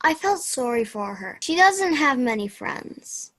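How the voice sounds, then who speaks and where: A frank, kind-hearted teenage girl camper played by a woman in an amateur radio play. Her voice is high, and she speaks slowly and plainly. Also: sing-song, bright, forceful tone, full character voice. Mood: worried. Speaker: student radio play performer.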